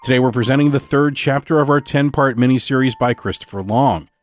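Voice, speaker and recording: A man's voice: mid-range voice, brisk. A man speaking as host, giving a scripted introduction to an audio drama podcast. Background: music.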